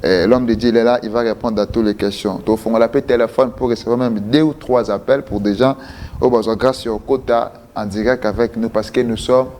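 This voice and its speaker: A man from DR Congo hosting a live call-in interview, talking with animated swings and slipping between French and Lingala. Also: mid-range voice, fairly quick, slight background noise. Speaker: host.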